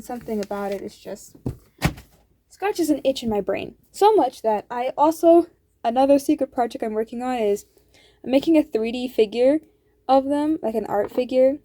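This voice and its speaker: A woman art vlogger with a slightly raspy, hoarse voice, chatting casually in a voice-over and rambling with lots of "like" fillers. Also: on the high side, mellow. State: throat hoarse from cold air.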